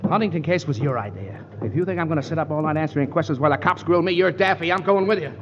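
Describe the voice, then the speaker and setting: An actor playing a sarcastic grumpy lawyer. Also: male voice, fairly high pitch, very fast, noisy background, natural voice. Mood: insistent. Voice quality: sarcastic, gruff. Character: grumpy wisecracking male lawyer, middle-aged. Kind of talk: 1940s radio acting. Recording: muffled 1940s radio broadcast.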